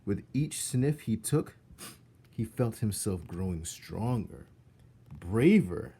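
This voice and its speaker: Expressive, unhurried male storybook narrator. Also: fairly low pitch, slight background noise. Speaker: read-aloud narrator. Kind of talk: expressive children's book reading.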